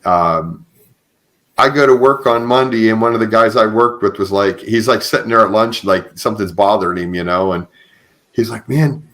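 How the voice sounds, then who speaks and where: Male podcast host, low register, informal conversational interviewer. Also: moderate pace, a bit bright. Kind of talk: casual interview chat.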